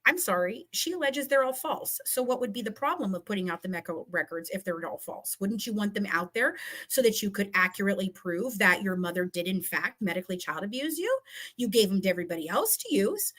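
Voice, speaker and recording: A woman's voice: mid-range voice, animated, fairly quick, fairly bright tone. A woman giving heated, combative commentary in a casual live chat, repeating phrases for emphasis. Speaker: guest.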